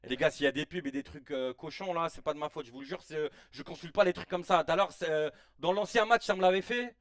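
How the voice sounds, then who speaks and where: A man in his late thirties hosting a casual live stream, reacting excitedly to a football match in slangy chat and shouting at the players. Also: a little high, fast, no echo, clean studio sound, far from the mic. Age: 30s. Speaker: live-stream watch-along host.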